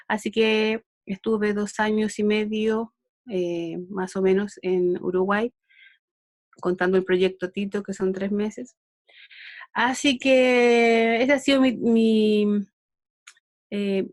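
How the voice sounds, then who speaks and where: A woman's voice: medium pitch, dry recording. Chilean woman teaching a Bible lesson. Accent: Chilean Spanish. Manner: unhurried, with frequent fillers and restarts. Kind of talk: online Bible lesson with opening prayer.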